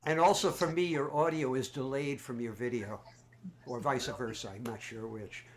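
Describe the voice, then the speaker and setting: A man's voice: mid-range voice, steady tone, normal speed. A man taking part in an online song circle, chatting casually and hesitantly, repeating words as he explains. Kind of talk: casual chat on a group video call. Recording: noisy video-call audio.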